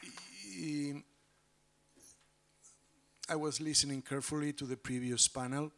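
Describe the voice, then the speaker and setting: A man from Spain with a Spanish accent giving formal, measured remarks on a conference panel. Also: fairly high pitch, fairly bright tone. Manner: measured, formal, some fillers. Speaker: panelist, politician.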